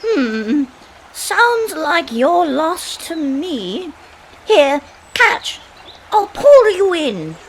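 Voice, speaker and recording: A woman's voice: very high-pitched, big pitch swings, very slow, full character voice. A woman playing a kindly, insistent old woman in a fairytale audio play, with a fussing, folksy manner. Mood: hailing, fussing. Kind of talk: amateur audio play acting. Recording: faint background noise.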